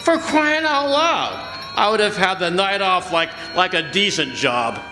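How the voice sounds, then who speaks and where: A man playing a cynical, impatient ambulance driver in a radio drama, with gruff, slangy, scoffing delivery. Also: high-pitched, animated, measured pace, bright, forceful tone, full character voice. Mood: grumbling. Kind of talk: old-time radio drama acting. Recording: echoey hall stage recording, music behind.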